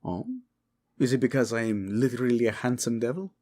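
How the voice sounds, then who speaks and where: A man's voice: mid-range voice, steady tone, fairly quick, a little mellow, natural voice. A man voicing a sly, playful devil of a thief who flirts and jokes in a fantasy audio drama. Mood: teasing. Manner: teasing, cheeky.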